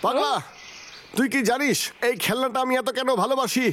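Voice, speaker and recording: A man voicing a worried, scolding but sentimental older brother bear in a children's cartoon dub, with anxious, drawn-out exclamations. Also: very high voice, fairly even intonation, background noise. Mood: tender. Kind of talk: exaggerated children's cartoon dubbing. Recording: loud music behind the voice.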